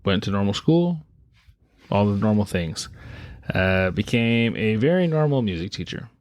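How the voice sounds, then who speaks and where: A man's voice: fairly low pitch, very animated, normal speed, darkish tone, dry recording. Wry man telling a story, dry mic. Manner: wry, teasing, builds up the story dramatically. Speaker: podcast co-host. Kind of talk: casual chat, storytelling.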